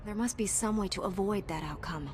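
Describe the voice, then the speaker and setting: An actress playing a calm, helpful female knight hero in a video game, composed and sincere. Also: mid-range voice, fairly quick, heavy background noise, lightly acted. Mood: resolute. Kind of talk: video game character acting.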